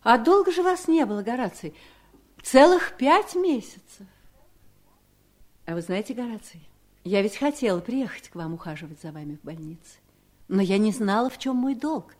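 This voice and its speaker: A controlling, scheming wife in a 1970s radio drama. Her voice sits fairly high, and she speaks with measured politeness edged with irony. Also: lively intonation. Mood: sweetly solicitous. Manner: measured, ironic, pointed politeness. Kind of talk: 1970s Soviet radio play acting.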